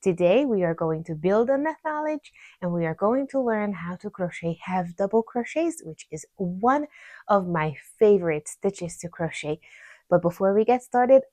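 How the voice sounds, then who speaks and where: A woman's voice: medium pitch, animated, normal speed, dark tone, no echo. A woman with a Hungarian accent teaching crochet step by step, patiently counting stitches aloud. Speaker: crochet instructor. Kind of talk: step-by-step tutorial.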